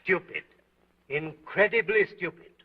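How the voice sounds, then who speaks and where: A curt police prefect. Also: male voice, high-pitched, expressive, measured pace, breathy edge, exaggerated voice. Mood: stern. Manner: curt, dry, interrogating. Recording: thin, tinny 1930s film sound.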